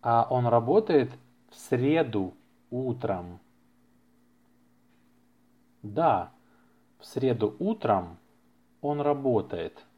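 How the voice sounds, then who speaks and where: Slow, deliberate man teaching a language. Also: medium pitch, lively intonation. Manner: deliberate, carefully enunciated, repeats phrases for learners. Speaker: language teacher and podcast host. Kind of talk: slow language-lesson podcast reading practice dialogues. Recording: slightly muffled lo-fi podcast recording.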